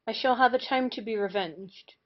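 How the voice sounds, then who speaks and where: A woman's voice: a little high, normal speed, lightly acted. A woman playing a humiliated, bitter parson and wronged husband in an old stage comedy, theatrical in delivery. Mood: indignant. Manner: theatrical lamenting. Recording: muffled lo-fi audio.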